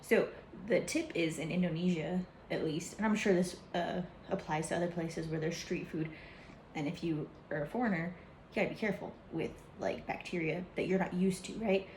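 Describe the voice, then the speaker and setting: A chatty young woman rambles through her travel food stories, her talk full of fillers and quick asides. Her voice is mellow and relaxed. It rings a little in an echoey room. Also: on the low side, slight background noise. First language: English, some Hindi learned. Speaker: travel vlogger. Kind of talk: casual chat.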